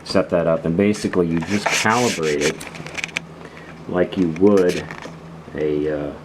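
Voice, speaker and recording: An extremely low-pitched man hosts a casual product demonstration. He explains things in an unhurried, halting way, piece by piece. The recording carries clear background noise. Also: steady tone. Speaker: host of a radio gear channel.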